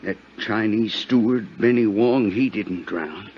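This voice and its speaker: An actor playing a scared, furtive sailor with hesitant, folksy speech in a 1950s radio drama. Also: male voice, on the low side, slow pace. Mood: fearful confiding. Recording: muffled, noisy 1950s radio recording.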